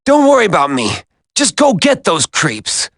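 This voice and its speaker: A man's voice: high-pitched, wildly swooping pitch, normal speed, fairly bright tone, husky, dry recording, studio quality, full character voice. A man voicing a brave, injured young soldier in a video game, strained but reassuring. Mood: pained, brave.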